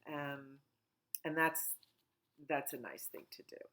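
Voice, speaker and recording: A middle-aged woman at home, a children's librarian talking gently and in a sing-song way during a storytime. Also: low-pitched, steady tone, slow. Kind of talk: storytime reading and chat for children.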